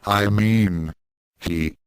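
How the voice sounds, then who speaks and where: Deep-voiced man reading as young woman, a sister. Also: extremely slow, a little hiss or hum. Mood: worried. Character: worried young woman, morning-person sister. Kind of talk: storybook dialogue read aloud.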